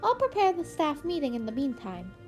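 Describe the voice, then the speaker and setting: Woman acting high-pitched, polite male school principal. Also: huge pitch swings, a little fast, darkish tone. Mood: polite, brisk. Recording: music playing under the voice.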